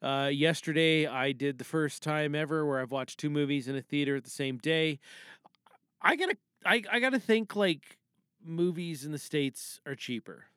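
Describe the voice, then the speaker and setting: A middle-aged man, tipsy, giving a rambling, halting, unscripted casual monologue as a podcast contributor. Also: a little high, a bit bright, smooth, no echo, clean studio sound.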